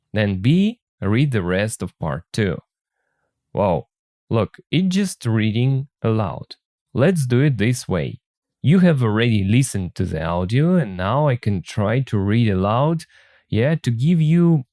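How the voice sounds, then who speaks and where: A man teaches from a textbook in an informal, instructional way. He speaks slowly with a mellow tone and very varied intonation, adding casual remarks as he goes. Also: on the low side, no echo, clean studio sound. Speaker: English teacher. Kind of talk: textbook lesson with casual commentary.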